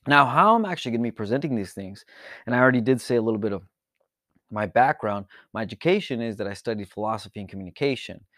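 A man from Mexico and the United States giving an informal, reflective monologue as a channel host, speaking earnestly and haltingly, repeating words for emphasis. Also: medium pitch, lively intonation, fairly bright tone, no echo, studio quality. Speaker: podcast and YouTube channel host.

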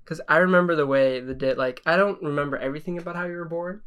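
A man's voice: a little high, fast, muffled recording. A young man interviewing his younger brother in a casual chat, asking rambling questions full of fillers.

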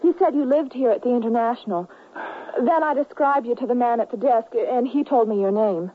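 A courteous, determined young lady in a western radio play. Her voice is slightly high and husky, on a very narrow, tinny recording. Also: brisk. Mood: apologetic, composed. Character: polite, resourceful young woman visitor in western. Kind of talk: old-time radio western acting.

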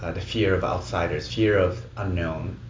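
A very low-voiced man with a Japanese accent talks through an interview answer, measured and hesitant. The recording is muffled and lo-fi, with a noticeable room echo and a clear noise bed behind him. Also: steady tone. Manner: measured, with many hesitant ums. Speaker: film director.

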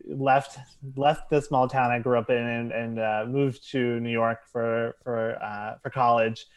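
A man's voice: medium pitch, steady tone, measured pace, clear, resonant tone. A man from Maryland chatting as a podcast guest, hesitant and full of fillers and restarts. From Maryland, USA. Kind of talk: casual interview chat.

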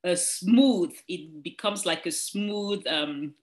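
A woman's voice: on the low side, takes it slowly. A woman from Cameroon, a nurse practitioner guest, chatting and explaining plainly, laughing readily. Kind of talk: casual interview chat.

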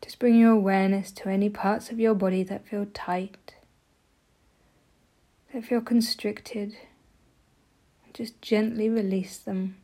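Female meditation guide, mellow and breathy, with an even, barely moving pitch and soothing, gentle instruction. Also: unhurried, pauses often. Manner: soft, gentle delivery, often breathy.

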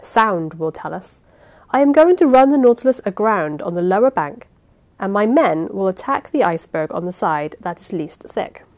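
A woman voicing a calm, cold male sea captain who speaks in a measured, lecturing way. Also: medium pitch, close to an everyday voice.

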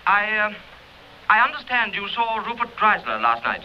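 A thin, muffled telephone line carries an anxious gentleman. He is high-voiced and animated, stammering politely and apologetically in old radio drama style. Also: normal speed. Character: nervous, apologetic, hesitant man telephoning a sleuth. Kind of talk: mid-century BBC radio drama acting. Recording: thin phone sound on old radio recording.

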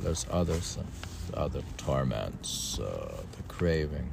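A man's voice: rumbling low, big pitch swings, slow pace, creaky, noisy background. An older man reading a book aloud and adding casual, rambling, self-deprecating commentary of his own. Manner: rambling, musing, self-deprecating, repeats passages. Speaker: podcast host and reader.

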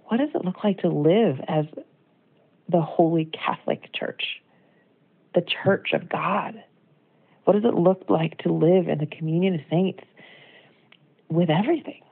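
An American woman with a low voice and plenty of vocal fry shares an informal devotional. She is conversational, reflective and earnest. The sound is thin and narrow, as on a phone voicemail. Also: unhurried, long pauses. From United States. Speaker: devotional speaker.

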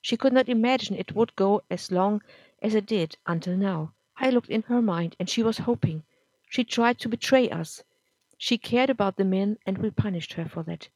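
A woman voicing a soft-spoken wise council spirit. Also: medium pitch, dry recording, lightly acted, rough recording. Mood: soothing. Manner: soft, measured, reassuring. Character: calm, wise genderless council spirit of dust.

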